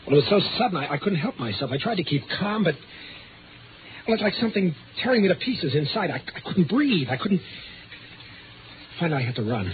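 A man's voice: fairly high pitch, sing-song, a little hiss or hum. Anxious, harried man, tinny old radio. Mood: distraught. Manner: halting, strained, earnest pleading. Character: anxious, harried man haunted by fear of darkness. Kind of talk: 1950s radio drama acting.

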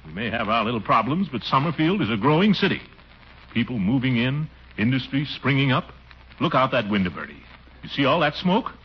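An actor playing a pompous, easily flustered middle-aged city commissioner, blustering and sputtering in a 1940s radio sitcom. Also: male voice, mid-range voice, sing-song, fairly quick, slightly rough. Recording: muffled, very noisy 1940s radio recording.

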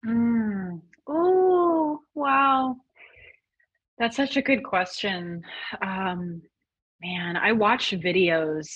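A woman speaking as a guest on a podcast, chatting in an expressive, animated way with a breathy voice. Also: mid-range voice, very slow, dry recording, dull audio. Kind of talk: casual interview chat.

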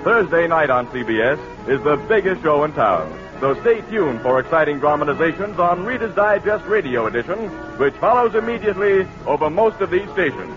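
High, lively American radio announcer man. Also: a little fast, few pauses. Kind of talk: 1940s radio commercial and credits announcing. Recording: muffled vintage radio recording with music.

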